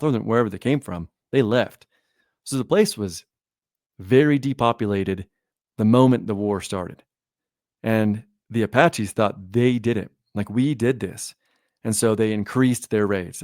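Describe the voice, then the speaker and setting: A man with a somewhat low voice that is worn and hoarse from illness. He tells history in a loose, rambling way and often stops to correct himself. The recording is dry and close, with no room sound. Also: darkish tone, dry recording. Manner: rambling storytelling with self-corrections. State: losing his voice, sick. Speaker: guest historian. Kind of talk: casual podcast interview.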